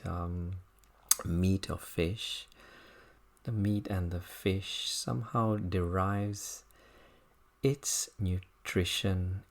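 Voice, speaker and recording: Slow, low-voiced meditation guide. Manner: unhurried, contemplative, halting phrases. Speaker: meditation teacher. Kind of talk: guided body scan meditation.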